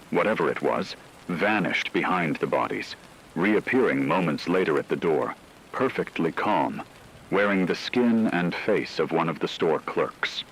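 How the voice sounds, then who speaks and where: A man reading scripted horror narration like a grave emergency radio chronicle, in a measured way. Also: sits low, a bit bright, slight background noise, low-quality recording.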